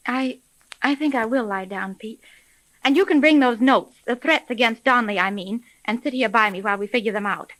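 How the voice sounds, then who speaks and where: An actress playing a shrewd, confident private detective, speaking briskly in a 1930s radio serial. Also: female voice, on the high side, fairly bright tone, no echo, lightly acted, low-quality recording. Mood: eager. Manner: brisk, self-assured.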